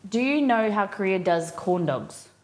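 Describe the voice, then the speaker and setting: A woman's voice: mid-range voice, slight background noise. A woman with an Australian accent, hosting a casual cooking live stream and chatting informally with viewers as she thinks aloud.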